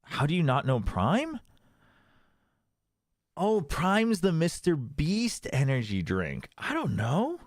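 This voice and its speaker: A man's voice: a little high, wildly swooping pitch, takes it slowly, pauses often, no echo, clean studio sound. Chatty laughing streamer man. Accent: slight non-native accent in Korean. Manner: laughs often, chatty with chat, switches into Korean. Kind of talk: casual livestream chat.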